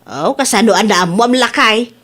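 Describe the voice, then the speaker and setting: Actress playing an old wife and mother, highish voice, Ilocano accent, vain, coy, stubborn, radio comedy. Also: moderate pace, fairly bright tone. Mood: coy, grateful.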